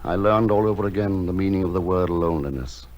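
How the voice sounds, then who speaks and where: A man acting as a lonely bird-keeping recluse with a low voice and a gentle, measured delivery. He speaks in literary radio-drama style, with music playing behind him. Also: lightly acted. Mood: wistful, lonely. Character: lonely, gentle, misshapen male recluse who tends wild birds. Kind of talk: radio drama acting and first-person narration.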